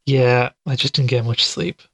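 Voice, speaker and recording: A tired, sceptical podcaster in an audio drama, played by a man. His voice sits at a middling pitch and is recorded very dry. He talks casually and without fuss, like someone telling his own mystery. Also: steady tone, moderate pace, creaky, fairly bright tone, husky, no echo. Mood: tired, subdued.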